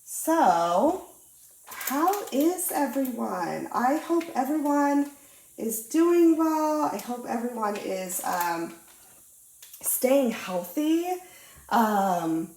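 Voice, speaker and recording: A woman's voice: a little high, animated, very slow, darkish tone, slight background noise. A young woman with a cold and a slightly nasal voice, chatting casually and bubbly while she works on a craft at her table. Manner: bubbly, chatty, lots of ums and uhs. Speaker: diamond painting channel host. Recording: roomy echo.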